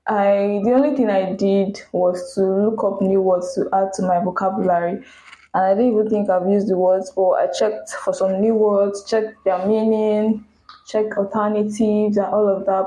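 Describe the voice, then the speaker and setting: Soft-spoken Nigerian woman, mellow and roomy. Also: medium pitch. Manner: chatty and candid, speeds up when telling stories. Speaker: podcast host. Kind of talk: casual solo podcast monologue. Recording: roomy echo.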